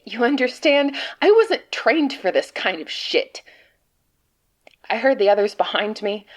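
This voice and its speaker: A woman playing a frightened, despairing agent trapped among monsters, delivering a halting, grimly sardonic confessional monologue in an audio drama. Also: fairly high pitch. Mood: horrified, shaken. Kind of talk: dramatic monologue reading of a written report.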